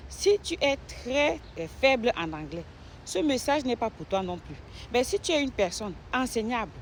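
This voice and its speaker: A woman from Cameroon, a nurse, making a direct persuasive sales pitch to the listener. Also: slight background noise. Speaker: nurse promoting an online business.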